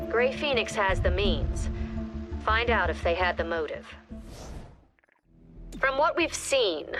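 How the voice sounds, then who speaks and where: A woman playing a stern, composed director of a security agency, giving formal, measured briefings in a video game. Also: mid-range voice, close to an everyday voice. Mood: businesslike. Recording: music behind the voice.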